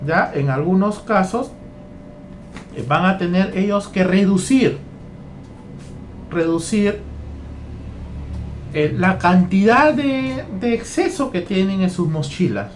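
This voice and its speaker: A man's voice: high voice, expressive, takes it slowly, pauses often, a little hiss or hum. A man from Peru training teachers, walking through a lesson plan and repeating phrases as he goes. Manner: repeats phrases, keeps asking "¿ya?".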